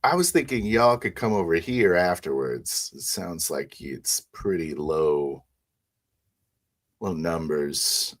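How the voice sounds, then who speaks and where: A man playing a jaded, gruff vampire party organizer, speaking casually and wryly in an improvised tabletop roleplay. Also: sits low, lively intonation, slow pace, exaggerated voice. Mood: coaxing, casual.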